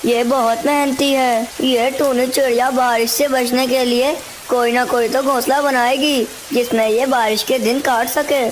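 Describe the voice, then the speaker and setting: A woman's voice: sits high, fairly even intonation, measured pace, hardly any pauses, a little hiss or hum, low-quality recording. A woman voicing a needy, envious male crow in a cartoon story, exclamatory and pleading in manner. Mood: shivering, scheming. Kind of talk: Hindi cartoon story dialogue.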